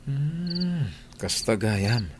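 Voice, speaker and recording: An actor playing a friendly, concerned boss in a radio drama, with an Ilocano accent. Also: male voice, medium pitch, lively intonation, extremely slow, background noise. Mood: sympathetic. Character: friendly, concerned male employer, easygoing and protective.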